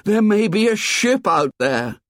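A man voicing a desperate, earnest schoolboy leader, pleading and shouting in a raised voice. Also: fairly high pitch, very animated, unhurried, no echo, studio quality, voice pushed up. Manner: pleading, rising to angry shouts.

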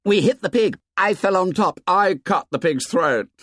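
A boastful boy hunter bursting with excitement, as a man puts him on for an audiobook. He talks in a breathless, gushing rush, the voice pitched well above the reader's own and swinging up and down. The sound is close and dry. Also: moderate pace, dry recording. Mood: exultant. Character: boastful boy hunt leader, excited and proud.

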